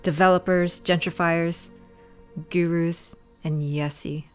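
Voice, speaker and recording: A woman's voice: lowish voice, fairly even intonation, slow, clear, resonant tone, faint music behind. A fierce young witch. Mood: brooding. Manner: intense inner monologue, bursts of anger. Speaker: lead character. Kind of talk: audio drama acting.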